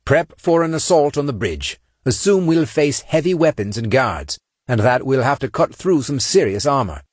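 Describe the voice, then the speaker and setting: A man's voice: medium pitch, sing-song, moderate pace, no room sound, studio quality, voice pushed up. Man acting a clipped, commanding marine sergeant. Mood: brisk orders. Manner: clipped, barking orders. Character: gruff commanding male marine gunnery sergeant.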